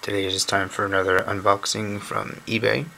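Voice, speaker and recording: A hoarse man, recovering from a lost voice, chatting casually and thinking aloud as he hosts a home video. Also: fairly low pitch, fairly quick. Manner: relaxed, thinking aloud with mild uncertainty. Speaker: cube channel host. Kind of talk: casual unboxing commentary. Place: indoors at a wooden table. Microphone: camera mic on a tabletop shot of hands. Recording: home camera audio with steady noise.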